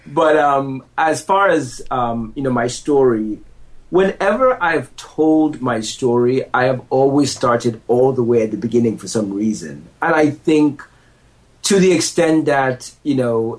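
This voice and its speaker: A man in his thirties, mid-pitched, talks loosely and laughs at himself as a podcast guest. He restarts sentences often and rambles a bit before getting to the point. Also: low-quality recording. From United States. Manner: self-deprecating, laughs easily, rambling restarts. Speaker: podcast guest, lawyer and entrepreneur. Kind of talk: relaxed conversational interview. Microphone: handheld microphone.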